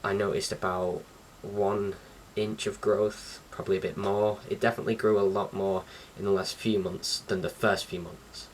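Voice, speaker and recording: A man's voice: lowish voice, flat delivery, normal speed, few pauses. A young man talking casually to camera as a vlogger, in an informal, slightly hesitant and rambling way. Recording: distant mic with faint background noise.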